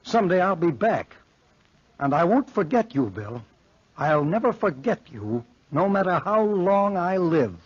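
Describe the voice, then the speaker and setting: A man's voice: high voice, big pitch swings, measured pace, slightly rough, no echo, heavily acted. An actor playing an earnest, anxious, lovesick young college man with strained melodrama in a 1940s radio horror play. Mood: bitter resolve. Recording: muffled 1940s radio broadcast recording.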